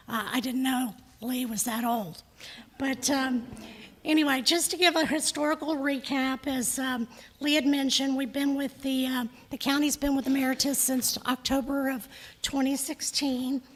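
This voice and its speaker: Hoarse saleswoman, middle-aged, friendly and chatty, flat high delivery at a desk mic. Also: low-quality recording. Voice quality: husky. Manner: chatty, friendly, joking asides. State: hoarse, losing her voice. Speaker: insurance sales representative. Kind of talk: presentation at a public meeting. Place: county meeting room. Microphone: desk microphone.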